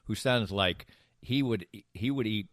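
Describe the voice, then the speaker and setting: Low-voiced middle-aged man bantering, dry sound. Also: measured pace, a bit bright, dry recording. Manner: rambling, emphatic, repeats himself. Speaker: radio show and podcast host. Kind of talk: casual banter.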